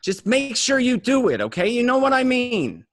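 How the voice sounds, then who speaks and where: A man plays a stressed, bossy criminal in an amateur film-script read. He is insistent and repeats himself, with very lively pitch in a dry, close sound. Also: moderate pace, dry recording, full character voice. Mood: insistent, nagging. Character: Henry, stressed, bossy male criminal juggling errands.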